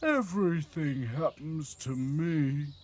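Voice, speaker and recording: A man's voice: on the high side, pitched above the performer's own voice. A man voicing a gloomy, weary male rag doll who sighs and groans as he talks. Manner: slow, sighing, groaning delivery. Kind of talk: storybook narration of a cartoon.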